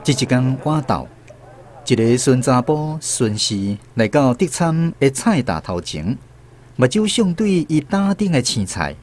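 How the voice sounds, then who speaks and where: A man's voice: medium pitch, big pitch swings, a little slow, a little mellow, slight background noise. A man with a Taiwanese accent reading scripted story narration for a radio drama.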